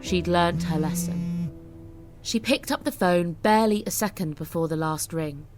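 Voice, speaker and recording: A woman's voice: on the low side, moderate pace. A woman giving scripted, dramatic story narration as an audiobook narrator. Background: music.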